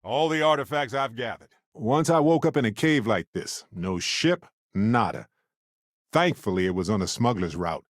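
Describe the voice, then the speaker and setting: A man playing a wry, warm-hearted space explorer companion in a video game, with playful, conversational asides. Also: mid-range voice, lively intonation, moderate pace, no room sound, studio quality, natural voice. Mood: upbeat, wry.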